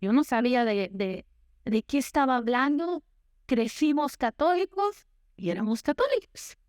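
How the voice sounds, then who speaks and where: A woman's voice: sits high, lively intonation, moderate pace, a little mellow, no room sound, clean studio sound. A young woman from Guatemala, preaching a sermon and telling her own story, slipping in English words. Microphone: handheld or headset mic, unfamiliar to her.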